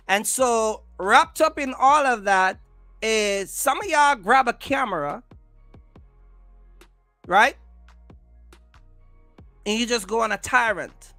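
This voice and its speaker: Bright, emphatic livestreamer, unhurried. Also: medium pitch, dry recording. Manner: emphatic, repeats phrases and restarts often. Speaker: livestream host, content creator. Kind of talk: casual livestream talk, rambling commentary.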